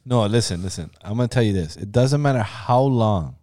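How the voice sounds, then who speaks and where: Lively, teasing man in a dry room. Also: on the low side, a little fast, no room sound. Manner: joking, teasing, says "yo" a lot. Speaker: podcast co-host. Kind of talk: casual chat.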